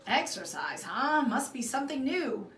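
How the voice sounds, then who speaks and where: A woman's voice: high-pitched, big pitch swings, a little slow, a bit bright, slight background noise, voice pushed up, heavily acted. A hearty, over-friendly storybook neighbor man played by a woman reader, a touch nervous under the cheer. The voice lifts above plain narration and rings in a roomy space, a little off the mic. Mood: friendly, awkward. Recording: roomy echo, distant mic.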